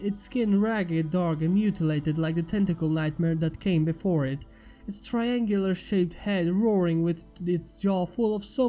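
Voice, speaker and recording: A man's voice: sits high, warm tone. A man reading a scripted story as a fan fiction narrator. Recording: muffled, echoey long reverb under music. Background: music.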